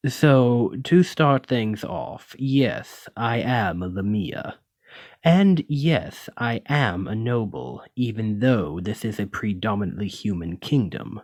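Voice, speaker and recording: A man's voice: lowish voice, expressive, slow, darkish tone, no echo, studio quality, full character voice. A man playing a haughty, menacing lamia noble in an ASMR roleplay, speaking with condescending, theatrical, aristocratic deliberation. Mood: proud, explanatory. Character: haughty, menacing male lamia noble, wealthy retired merchant. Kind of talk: ASMR roleplay addressed to the listener.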